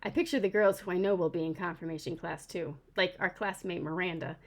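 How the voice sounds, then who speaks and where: A woman author reading her first-person children's novel aloud in an expressive storytelling style. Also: fairly low pitch, a little fast. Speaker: author reading own book.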